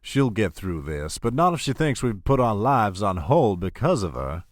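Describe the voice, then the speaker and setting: A man's voice: on the low side, sing-song, moderate pace, dry recording, studio quality. A man playing a protective, courtly older patriarch in an audio soap drama, speaking expressively. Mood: warm, courtly. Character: fatherly, protective, worried older patriarch of wealthy family.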